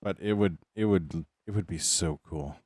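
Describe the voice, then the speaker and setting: A man's voice: low voice, moderate pace, darkish tone. A young man casually chatting while streaming a game, rambling with lots of fillers as he muses. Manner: rambling, filler-heavy musing. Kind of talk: casual gaming stream chat.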